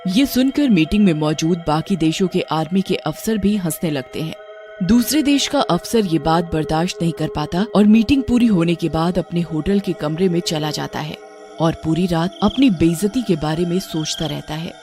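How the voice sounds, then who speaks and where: A woman's voice: fairly low pitch, animated, normal speed, over music. A woman narrator reading a scripted story.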